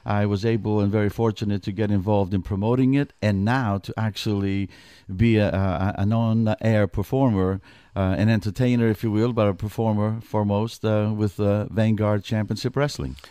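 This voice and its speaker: Earnest Greek-born man, low and mellow. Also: steady tone, moderate pace, smooth, no room sound, clean studio sound. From Greece. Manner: earnest, with frequent restarts. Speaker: wrestling commissioner, studio guest. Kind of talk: radio interview. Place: radio studio.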